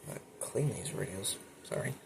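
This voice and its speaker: A man hosting a casual home show-and-tell video, speaking informally and a little haltingly with enthusiastic asides. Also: sits low, creaky, a little hiss or hum. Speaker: hobbyist video creator and host. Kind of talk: casual show-and-tell narration of a radio collection. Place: indoors at home.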